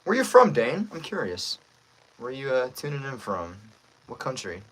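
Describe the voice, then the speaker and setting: A man's voice: mid-range voice, wildly swooping pitch, moderate pace, poor audio. A man chatting casually and friendly with viewers as a voice actor on a livestream, thinking aloud as he goes.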